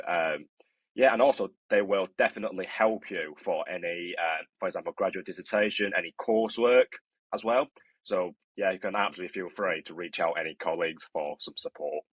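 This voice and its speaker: A young man talks about his studies in a podcast, earnest and hesitant with frequent restarts. His voice sits low and husky. The recording is dry and close. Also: a little fast, no room sound, poor audio. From northeast China. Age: 20s. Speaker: construction student guest. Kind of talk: podcast interview.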